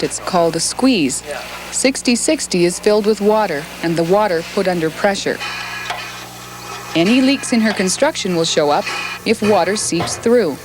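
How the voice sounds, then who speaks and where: A woman narrating a documentary in a calm, measured, scripted way. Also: mid-range voice, noisy background. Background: music.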